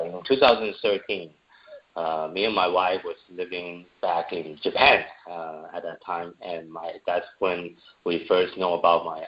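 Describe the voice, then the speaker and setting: Deep-voiced man from Taiwan, earnest. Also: lo-fi sound. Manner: earnest, with frequent uh fillers. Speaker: guest, entrepreneur and parent. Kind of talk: interview answers.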